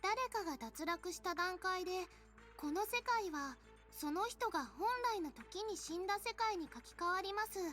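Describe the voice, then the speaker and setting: A woman's voice: extremely high pitch, expressive, quick pace, sharp tone, full character voice. A woman voicing a haughty, girlish game overseer in a visual novel, speaking in a formal, ladylike and condescending way. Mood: formal, composed. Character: prim, haughty, high-voiced girlish female game overseer. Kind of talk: Japanese visual novel voice acting. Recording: music behind the voice.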